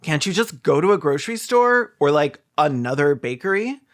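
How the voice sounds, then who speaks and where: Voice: medium pitch, very animated, normal speed. A commentator doing sarcastic comedic reactions, snarky and profane, with theatrical mockery. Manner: snarky, profane, theatrically mocking with mimicked quotes. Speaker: host of a video commentary show.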